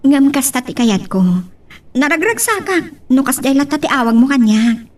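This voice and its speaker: A woman's voice: high-pitched, lively intonation, moderate pace, far from the mic. A woman with an Ilocano accent playing a flirtatious, confident boss in an amateur audio drama. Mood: coy, persuasive. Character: flirtatious, confident lady boss in love with employee.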